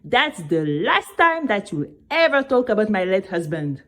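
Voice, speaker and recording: A woman's voice: medium pitch, big pitch swings, unhurried, a bit bright. A woman playing an indignant widowed mother in an audio drama, scolding and outraged as she defends her family.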